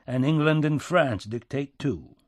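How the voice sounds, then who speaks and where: A man's voice: mid-range voice, expressive, measured pace, dry recording, pitched above the performer's own voice, lightly acted. Man playing a bitter statesman, back-country American accent, folksy, sardonic, emphatic, storybook character, dry recording. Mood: weary, resigned.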